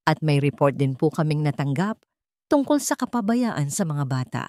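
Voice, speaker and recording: A woman's voice: sits low, very animated, normal speed, a little mellow, dry recording, studio quality. A Filipino-accented woman voicing a cold, businesslike policeman in a story, formal, polite and unyielding. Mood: official, firm.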